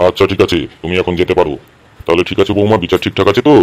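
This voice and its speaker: A man's voice: low-pitched, fairly even intonation, rapid-fire, noisy background, close to an everyday voice. A man voicing a stern, bossy middle-aged village headman in a comedy cartoon, questioning and laying down the law with authority. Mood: authoritative. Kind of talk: amateur comedy cartoon dub.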